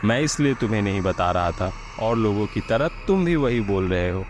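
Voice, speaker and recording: A man's voice: fairly low pitch, expressive, normal speed, noisy background, lightly acted. A man voicing a self-assured, sceptical husband who explains and reassures firmly in a horror story. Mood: firm, dismissive. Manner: firm, explanatory.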